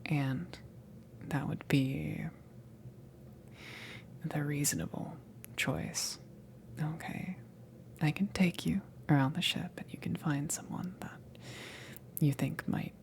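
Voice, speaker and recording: A woman's voice: low-pitched, a little mellow, full character voice. Woman playing a whispering, flustered female alien. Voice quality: breathy, creaky, often whispered. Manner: hesitant, stammering, slow, awkward. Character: flustered female alien crewmember, self-conscious and hungrily smitten. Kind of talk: ASMR roleplay.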